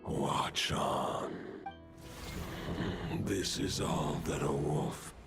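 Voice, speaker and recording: A man voicing an ancient, weary and noble wolf spirit in a video game, with a deep, gravelly, breathy voice. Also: very slow, exaggerated voice. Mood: weary, solemn. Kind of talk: English video game voice acting. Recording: game audio under loud music.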